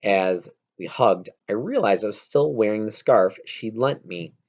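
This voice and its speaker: A man reading a children's novel aloud as narration, in an expressive, amateur read-aloud manner. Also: on the low side, measured pace, lo-fi sound. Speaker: audiobook reader.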